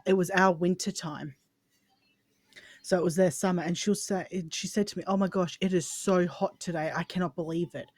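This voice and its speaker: A woman's voice: on the low side, no echo. An Australian woman co-hosting a casual chat, telling anecdotes in a jokey, exaggerating way with acted-out quotes. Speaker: podcast co-host.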